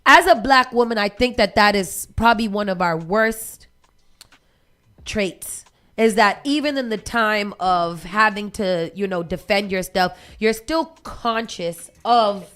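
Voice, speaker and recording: A woman hosting a morning radio talk show, speaking in a measured, emphatic way. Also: mid-range voice, fairly bright tone.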